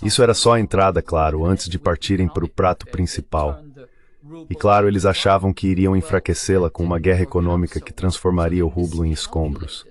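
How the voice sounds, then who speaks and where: A man's voice: sits low, fairly even intonation, fairly quick. A man giving political commentary as a panel guest, explaining things step by step.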